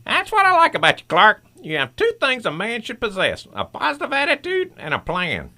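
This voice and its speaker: A woman's voice: fairly high pitch, wildly swooping pitch, a little fast, sharp tone, heavily acted. A woman playing a friendly, confident scientist and inventor in an old-time radio drama, chatty and encouraging. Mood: friendly, proud.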